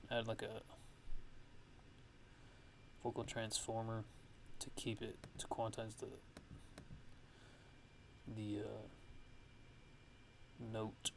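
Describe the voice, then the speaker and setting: A man giving a casual, hushed ASMR-style software tutorial, explaining things in a halting, unscripted way. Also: fairly low pitch, completely flat delivery, gravelly, noisy background. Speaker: music production tutorial host.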